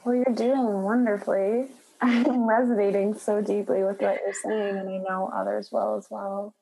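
A woman interviewing a guest, calm and affirming, with soft hums. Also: medium pitch, moderate pace, gravelly, breathy edge, low-quality recording. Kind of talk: gentle interview questions.

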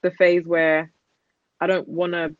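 A woman's voice: lowish voice, little pitch movement, measured pace, no echo, dull audio. A woman in her thirties, a podcast guest, talking candidly and rambling a little, with plenty of fillers. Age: 30s. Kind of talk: casual chat.

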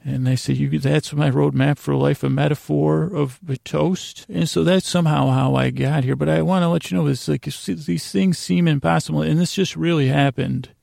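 Creaky, lulling man rambling at bedtime. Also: on the high side, steady tone, darkish tone, dry recording, studio quality. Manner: meandering, halting with odd pauses and repeated words. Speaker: podcast host. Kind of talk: rambling, soothing bedtime monologue.

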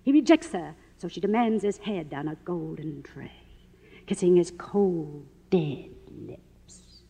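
An actress playing a middle-aged, faded silent film star in a 1950s radio drama, grandiose, bitter and theatrical. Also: female voice, on the low side, animated, slow pace, gravelly, mellow, husky, exaggerated voice. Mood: grandiose, rapt. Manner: theatrical, imperious, declamatory.

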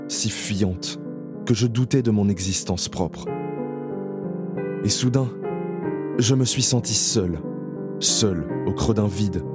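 An actor playing a haunted male survivor in an audio drama, speaking slowly and introspectively in the first person. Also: lowish voice. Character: haunted male camp survivor, numb and fearful.

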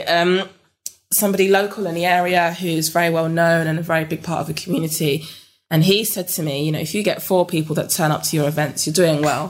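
Young london woman, deep voice, chatty interview guest, lots of ums. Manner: hesitant, many ums and restarts. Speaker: interview guest, business network founder. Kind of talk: relaxed conversational interview.

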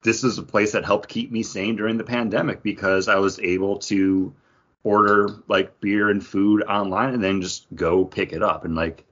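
A man with a fairly low voice talks as a podcast co-host. His delivery is casual and conversational, wandering a little as he goes. The recording is slightly dull and lo-fi. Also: flat delivery, normal speed, fairly bright tone. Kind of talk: casual chat, craft beer review. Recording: dull, lo-fi audio.

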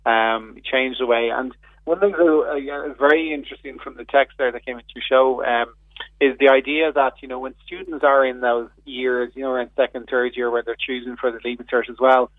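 A man's voice: medium pitch, fairly even intonation, fairly quick. An Irish man speaking as a radio guest by phone, earnest and explanatory, with many fillers and restarts. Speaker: radio guest, education advocate. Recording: tinny phone line.

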